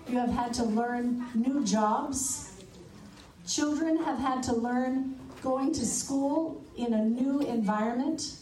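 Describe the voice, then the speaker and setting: Slow-speaking woman addressing a crowd. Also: fairly high pitch, mellow, recorded from a distance. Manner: slow, emphatic, repetitive. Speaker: speaker at a public event. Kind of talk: public speech. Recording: roomy, from afar, crowd behind.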